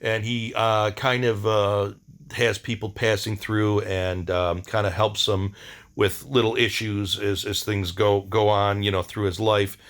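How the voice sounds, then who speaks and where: A middle-aged man talking casually in a rambling video diary, halting and slangy, with laid-back enthusiasm. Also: lowish voice, flat delivery, fairly bright tone. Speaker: vlogger.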